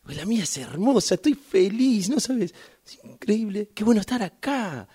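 A man acts out a wistful passenger in a radio play monologue. His voice is mellow and husky, and its pitch swings widely from line to line. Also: lively intonation, normal speed. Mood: excited, elated. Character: wistful man waiting for a train. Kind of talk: amateur radio theatre monologue.

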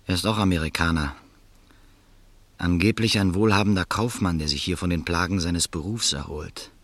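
Deep male lead narrating in the first person, nervous in scenes; dry studio sound. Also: normal speed, a bit bright, dry recording. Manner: hesitant, nervous in dialogue. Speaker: narrator and lead in a radio play.

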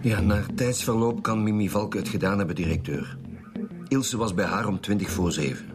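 A man's voice: fairly low pitch, moderate pace, lightly acted. A man with a Flemish accent playing a stern, wry lead homicide detective in a radio drama, with a formal, probing manner. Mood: wry, brisk. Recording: music behind the voice.

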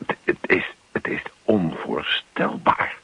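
A man playing an exasperated, disbelieving henpecked husband in a radio play, husky and spluttering. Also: slow, pushed and bright, no echo. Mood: despairing. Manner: incredulous, spluttering. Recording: dull old radio play recording.

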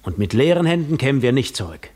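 A man's voice: medium pitch, sing-song, fast talker, a little mellow. An actor playing a pensive seafaring man who tells his own story in a 1950s radio drama. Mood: reflective.

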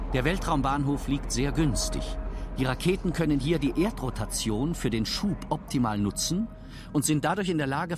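A man reading scripted informational narration for a radio documentary. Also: medium pitch. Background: music.

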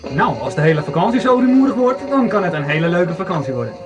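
Male storyteller for amateur radio play, slightly high voice, music underneath. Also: sing-song, fast talker. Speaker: narrator.

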